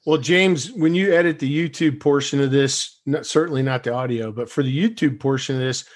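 A man's voice: mid-range voice, fairly quick. A middle-aged man hosting a podcast, asking questions and teasing the guests in casual chat. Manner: joking, teasing. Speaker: podcast host.

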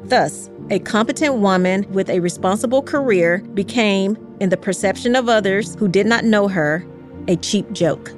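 A woman reading scripted history narration in a measured, carefully articulated storytelling way. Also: mid-range voice, soft background music. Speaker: podcast narrator.

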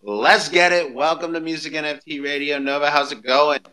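A man's voice: fairly high pitch, steady tone, normal speed, pushed and bright, clear, resonant tone. A man hosting a podcast, introducing and interviewing in an upbeat presenter style. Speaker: podcast host.

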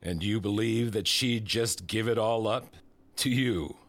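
A man playing a smug, manipulative disgraced senator in an audio drama, condescending, deliberate and insinuating. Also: lowish voice, a bit bright. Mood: bitter, insinuating.

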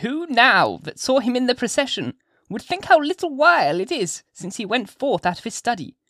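A narrator voicing a sly, sinister old gentlewoman witch from a classic story, shrill and theatrical, with drawn-out archaic phrases. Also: sing-song, no echo, studio quality, exaggerated voice. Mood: sly, insinuating. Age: older. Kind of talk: dramatised audiobook character reading.

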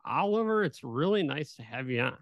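A man's voice: medium pitch, big pitch swings, a little fast, dry recording, clean studio sound. A man hosting a business podcast, asking questions in an upbeat, enthusiastic way. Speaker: podcast host.

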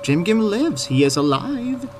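A man's voice: sits high, big pitch swings, measured pace, faint music behind. An actor in an audio drama playing an insistent, wily messenger who is pointedly polite to his superiors. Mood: grave, insistent.

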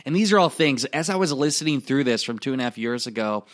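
This is a young adult man with an Iowa accent, hosting a solo podcast. His pitch sits in the middle, and he gets excited and speeds up as he talks. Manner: excitable, speaks fast when excited. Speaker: podcast host. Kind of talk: reflective solo podcast monologue.

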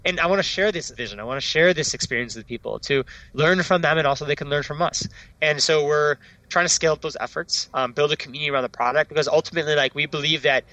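A man's voice: on the high side, sharp tone, dry recording, rough recording. A man, a startup founder, talking eagerly in a casual interview, often tagging "right?" onto his sentences. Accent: non-Dutch foreign accent. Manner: enthusiastic, fast, peppered with "right?" and "like".

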